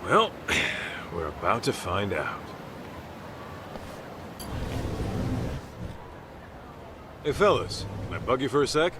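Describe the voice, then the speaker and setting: An actor playing an easygoing, gruff middle-aged private detective with streetwise phrasing in an English-dubbed video game. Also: male voice, mid-range voice, big pitch swings, normal speed, noisy background, lightly acted. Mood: casual, probing.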